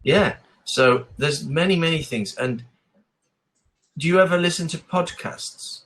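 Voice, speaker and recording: A man's voice: fairly high pitch, unhurried, a bit bright. Encouraging English male tutor. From England. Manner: encouraging, repeats and rephrases his advice. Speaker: online English conversation tutor. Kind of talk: casual one-to-one lesson chat. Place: online video call.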